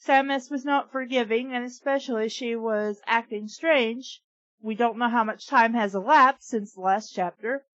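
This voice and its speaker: A woman hosting a comedy reading of fan fiction, alternating deadpan narration with sarcastic asides and giggles. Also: fairly high pitch, normal speed, dry recording. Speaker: reader and podcast host. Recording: dull lo-fi sound.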